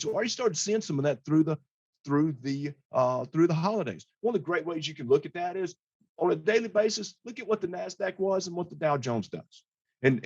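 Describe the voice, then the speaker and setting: A hoarse man, a financial advisor, giving a casual client update in a plain-spoken, conversational way. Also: mid-range voice, darkish tone, dry recording, highs cut off.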